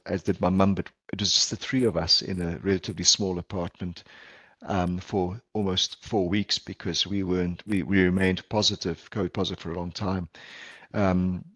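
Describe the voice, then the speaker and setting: A man's voice: deep voice, flat delivery, normal speed, slightly rough, no echo. A middle-aged man with a South African accent, a Portuguese native speaker, giving an earnest conference talk over a video call with frequent ums and restarts. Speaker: palliative care physician presenter. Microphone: video call headset or laptop mic.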